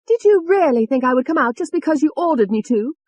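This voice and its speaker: A woman's voice: very high voice, sing-song, normal speed, no echo, pitched above the performer's own voice. A woman voicing a furious, taunting young woman with a sneering, explosive manner in an audiobook. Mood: angry scorn. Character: furious, taunting young British woman, a murderous alter personality.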